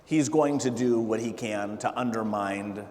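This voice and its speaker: A man speaking as a political candidate at a town hall, halting and full of fillers and restarts. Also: lowish voice, darkish tone. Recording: echoey hall with faint noise.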